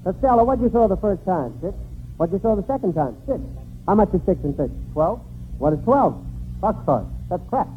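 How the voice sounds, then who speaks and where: A man's voice: high-pitched, animated, brisk, soft background music. A man performing in a 1940s radio comedy sketch, sly and cocky, on a tinny old broadcast recording.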